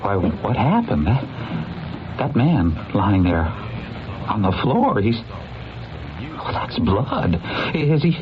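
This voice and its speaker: A man's voice: fairly low pitch, wildly swooping pitch, takes it slowly, pauses often, background noise, heavily acted. An actor playing a nervous, deferential man who stammers and gets flustered, in old-time radio drama style. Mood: breathless alarm. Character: nervous, obsequious male underling, easily flustered. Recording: muffled, band-limited old radio recording.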